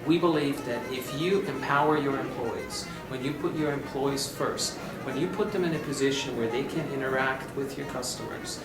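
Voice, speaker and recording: Man from Austria over loud music. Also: medium pitch, normal speed, hardly any pauses. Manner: explanatory, emphatic, seeks agreement with "right?". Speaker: interview guest, corporate marketing executive. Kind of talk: conversational interview. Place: conference venue. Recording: echoey, distant mic, loud music behind.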